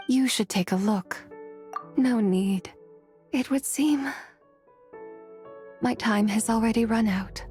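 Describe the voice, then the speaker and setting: A woman's voice: a little high, darkish tone. A woman voicing an ancient, serene and forgetful immortal spirit in a video game, speaking formally and wistfully.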